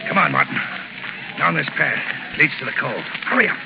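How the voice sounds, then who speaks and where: A jittery, tough crook in a vintage radio thriller. His voice sits fairly high with a gravelly edge and snaps out clipped gangster slang. The old broadcast is muffled and noisy. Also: big pitch swings, normal speed, full character voice. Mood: urgent, impatient. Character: jittery, tough-talking male escaped crook, gun-ready henchman. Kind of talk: 1930s radio drama acting.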